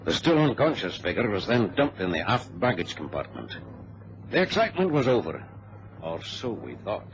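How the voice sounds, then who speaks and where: A man playing a passenger who gravely narrates a radio drama, heard on a muffled old recording. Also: lowish voice, big pitch swings, normal speed, background noise. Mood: recounting. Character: male passenger gravely recounting a hijacked flight.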